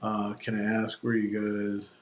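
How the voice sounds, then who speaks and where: A man's voice: lowish voice, monotone, a little slow, a little hiss or hum. A man hosting a live stream, chatting casually and rambling through opinionated sports talk. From New Jersey. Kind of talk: casual chat. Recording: echoey room.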